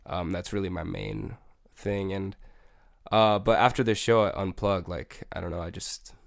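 A man's voice: deep voice, fairly even intonation, normal speed, vocal fry, no echo, clean studio sound. A young man, a music producer, chatting casually as an interview guest with a laid-back manner and many fillers. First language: Spanish.

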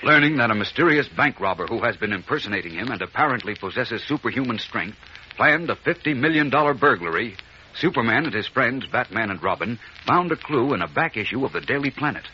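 A man's voice: medium pitch, animated, a little fast. A man announcing and narrating a 1940s radio serial in a dramatic, emphatic scripted style. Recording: muffled, faintly noisy 1940s radio recording.

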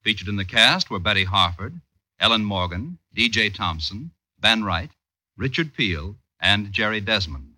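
A man reading scripted credits as a formal, clearly enunciated 1950s radio announcer. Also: on the low side, animated, moderate pace, sharp tone, no room sound, rough recording.

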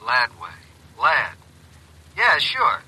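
An actor playing a brash, impatient detective's assistant phoning in, brisk and sardonic, through a telephone filter in a radio drama. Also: male voice, medium pitch, huge pitch swings, sharp tone, husky, heavily acted, low-quality recording. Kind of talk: classic radio drama acting.

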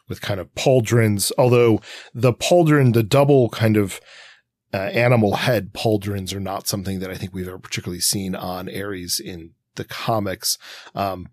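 A man's voice: fairly low pitch, no echo, clean studio sound. A man co-hosting a podcast, discussing comics analytically in a wordy, think-aloud way. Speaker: podcast co-host.

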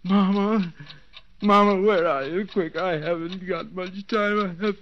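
Desperate doomed man, high strained voice, halting delivery, dull noisy old radio recording. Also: steady tone. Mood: pleading, desperate. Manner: halting, pleading, drawn-out words. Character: desperate bound man counting down his last seconds. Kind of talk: 1940s radio suspense acting.

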